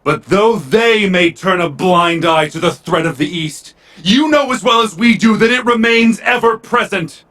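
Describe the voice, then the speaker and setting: Game role: bombastic military ruler, high-pitched, piercingly forceful, grand declamatory oratory. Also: male voice, expressive, a little slow, heavily acted. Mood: fervent, rallying. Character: bombastic male military ruler rallying citizens to war. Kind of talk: dramatic political oratory.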